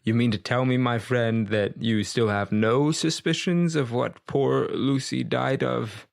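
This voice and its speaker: An actor playing a learned older vampire hunter in a gothic audio drama, grave and insistent, with deliberate, emphatic, questioning speech. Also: male voice, medium pitch, fairly even intonation, creaky, no echo, studio quality. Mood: insistent, probing.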